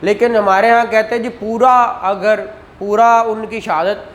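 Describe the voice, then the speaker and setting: A man's voice: sits high, fairly even intonation, moderate pace. A man, teaching a law class and explaining points with rhetorical questions and acted examples. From Pakistan. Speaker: law lecturer. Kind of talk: classroom lecture.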